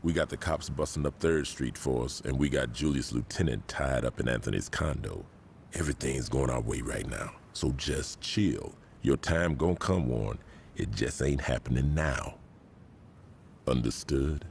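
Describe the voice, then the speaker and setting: Game gang boss: extremely low gravelly voice, stern, unhurried authority, slight background noise. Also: male voice. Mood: firm, calm. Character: calm, commanding older male gang boss. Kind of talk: video game cutscene acting.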